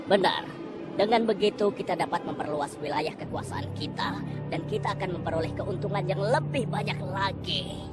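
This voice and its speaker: A woman voicing a loyal, scheming royal adviser in an animated cartoon, speaking in an eager, deferential way. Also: fairly low pitch, normal speed, natural voice. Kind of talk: cartoon voice acting. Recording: loud background music.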